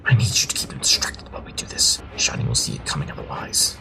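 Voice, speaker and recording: A man's voice: fast talker, music in the background, lightly acted. A man playing a self-assured, eccentric pony detective in a cartoon fan drama, theatrical and articulate. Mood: brisk, scheming. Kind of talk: fan audio drama acting.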